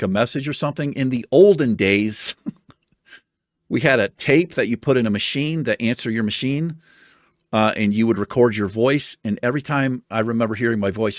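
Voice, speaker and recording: Chatty, animated American man. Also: medium pitch, no echo, studio quality. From United States. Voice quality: soothing voice. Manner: animated, chatty, swears casually, laughs often. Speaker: YouTube host reading viewer comments. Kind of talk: casual chat.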